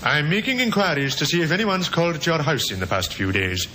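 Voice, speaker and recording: A man's voice: fairly high pitch, expressive, quick pace, bright, forceful tone, a little hiss or hum. An actor playing a polite, dutiful male police sergeant in an old radio drama, formal and courteous. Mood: courteous, official. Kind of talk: 1950s radio drama acting.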